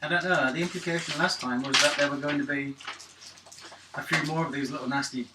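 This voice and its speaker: A man's voice: fairly high pitch, steady tone, fairly quick. A British man chatting casually and playfully during a tabletop roleplaying game. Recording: echoey room, distant mic, noisy, clicks.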